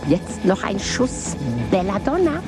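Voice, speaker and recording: An actress playing an eccentric, scheming witch who mutters over her potions in a radio play, her voice lurching from low grumbles to shrill highs. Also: big pitch swings, measured pace, loud music behind the voice. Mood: gloating. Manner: muttering, swooping wildly in pitch, cackling.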